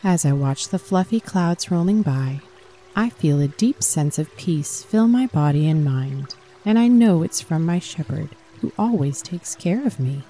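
A woman narrating a scripted guided sleep meditation for children in a gentle, calming, soothing manner. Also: deep voice, a little slow, soft background music.

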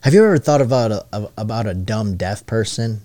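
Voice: medium pitch, animated, brisk, mellow, no echo. A young adult co-hosting a casual podcast chat, joking and teasing with frequent laughs. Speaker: podcast co-host.

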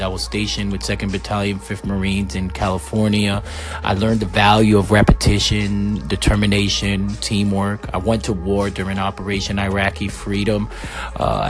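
A man in his thirties hosts a solo podcast in a low, steady voice that stays mostly flat. He speaks earnestly and at a measured pace, often pausing on "uh" and "um". Faint music plays underneath. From Puerto Rico. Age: 30s. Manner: earnest, measured life-story telling with frequent fillers. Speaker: podcast host.

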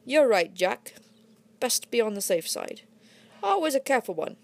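A burly red-haired bandit, as a woman reader puts him on, growls out his plans with jovial menace. He speaks louder and a touch higher than the reader's own voice, gloating in a storybook adventure. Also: big pitch swings, normal speed.

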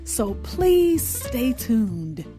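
A woman hosting a radio show, introducing it in a deliberate, expressive presenter style over music. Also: a little high. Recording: music behind the voice.

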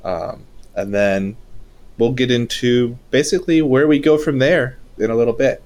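A twenty-three-year-old man co-hosting a casual podcast, telling his story at a relaxed, steady pace with filler "uh"s. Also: mid-range voice, moderate pace. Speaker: podcast co-host.

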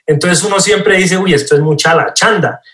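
Colombian male actor guest, slightly high voice, casual explainer, roomy echo. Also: moderate pace, fairly bright tone. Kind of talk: casual chat, explaining slang with examples. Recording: roomy, echoey sound.

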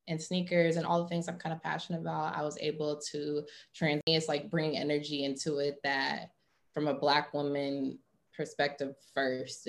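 A woman's voice: low voice, gravelly. A young woman from Atlanta speaking casually as an interview guest, peppering her informal talk with "like". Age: young adult. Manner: informal, heavy use of "like". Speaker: interview guest, sports marketing professional.

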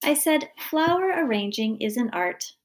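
A woman's voice: a little high, expressive, a little slow. Woman as a patient, genteel storybook mother. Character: patient, indulgent housewife mother with lofty interests.

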